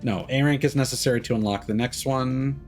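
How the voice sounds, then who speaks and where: A man giving casual live game commentary, rambling and thinking aloud with hesitant pauses. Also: mid-range voice, steady tone, a little mellow. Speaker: game streamer. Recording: game music behind the voice. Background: game music.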